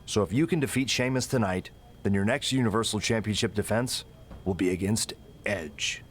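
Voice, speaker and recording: A man's voice: on the low side, moderate pace, a little hiss or hum, lightly acted. A man playing a firm, businesslike wrestling general manager in a video game scene. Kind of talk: scripted game dialogue.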